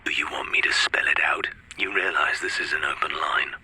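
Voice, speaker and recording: A weary british secret agent, radio play, deep voice, clipped and stubborn. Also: male voice, fairly quick, sharp tone, slightly rough, exaggerated voice, rough recording. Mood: terse, insistent. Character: terse, weary male British secret agent back from missing. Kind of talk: radio drama acting.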